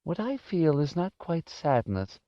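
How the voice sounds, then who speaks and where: A man voicing a kindly, soft-spoken old woman housekeeper with a sorrowful, fussing manner in an audiobook. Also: fairly high pitch, very animated, a little slow, dry recording, muffled recording, pitched above the performer's own voice. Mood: sad, tender. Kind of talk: audiobook character voice.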